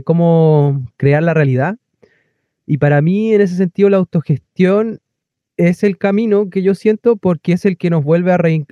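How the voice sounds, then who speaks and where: Male guest, mellow and fairly high, philosophical, asks himself questions as he explains. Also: no echo, muffled recording. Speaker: interview guest. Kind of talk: reflective conversational explanation.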